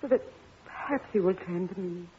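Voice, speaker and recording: An actress playing a young princess, refined and formal, in 1950s radio operetta style. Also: female voice, lowish voice, normal speed, husky. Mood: earnest pleading. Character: young royal princess, dignified, pleading, jealous yet gracious. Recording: tinny, narrow old radio broadcast.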